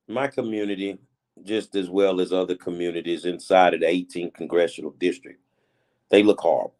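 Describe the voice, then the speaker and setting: A man's voice: low-pitched, darkish tone. A man in his fifties hosting a talk show, interviewing a guest in a casual, emphatic way with informal grammar. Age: 50s. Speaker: show host.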